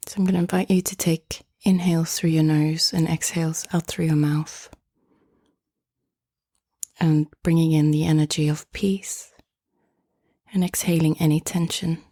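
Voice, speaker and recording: Woman, meditation guide, half-whispered, gentle, slow, dry close sound. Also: deep voice, steady tone, no room sound. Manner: soft, hushed, often half-whispered delivery; gentle, inviting, unhurried phrasing.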